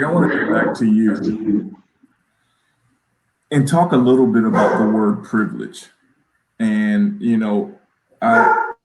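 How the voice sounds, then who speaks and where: A man hosting a panel discussion conversationally, putting questions in a halting, roundabout way. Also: fairly low pitch, background noise. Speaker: host, moderator. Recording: echoey room sound.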